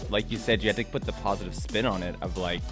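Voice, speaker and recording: A man with a Californian accent hosting a casual interview, animated, laughing often and talking with lots of fillers. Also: lowish voice, quick pace, over music. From United States. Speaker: podcast host.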